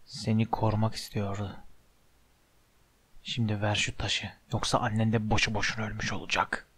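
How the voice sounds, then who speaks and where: A man voicing a sinister, bodiless dark wizard in an audiobook, with a slow, menacing, hissing hush. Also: lowish voice, completely flat delivery, pitched below the performer's own voice. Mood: mocking, cold. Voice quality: hushed, breathy hiss.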